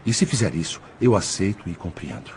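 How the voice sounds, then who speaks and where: A man's voice: low-pitched, sing-song, brisk, a little mellow, a little hiss or hum. A Brazilian man acting an emotional, indignant spirit father in a dramatized audiobook. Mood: contrite. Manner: emotional, theatrical. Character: indignant, anguished spirit father protective of his daughter.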